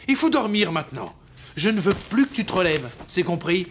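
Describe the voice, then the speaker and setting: A woman's voice: lowish voice, very animated, moderate pace, very noisy. A woman playing an exasperated but reassuring mother in a children's cartoon, firm and motherly. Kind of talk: animated children's cartoon acting.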